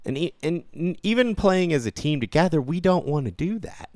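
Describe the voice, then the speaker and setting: A man's voice: fairly high pitch, lively intonation, brisk, darkish tone, no echo, clean studio sound. A man co-hosting a podcast in casual gaming banter, swearing freely and speaking in stop-start phrases with trailing asides. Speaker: podcast co-host.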